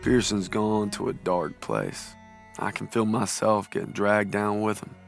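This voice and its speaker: A man's voice: on the low side, unhurried, creaky, faint music behind. A man playing a weary, earnest young American soldier, narrating reflectively in a war game. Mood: somber, reflective. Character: young American army private, weary, earnest, devoted to his brother.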